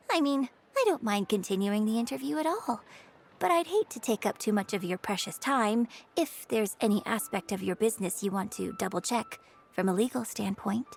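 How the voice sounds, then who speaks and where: A woman playing a poised, polite and quietly cunning young opera performer in a video game. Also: medium pitch, a little hiss or hum, natural voice. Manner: composed, courteous, feigned innocence. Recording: background music under dialogue.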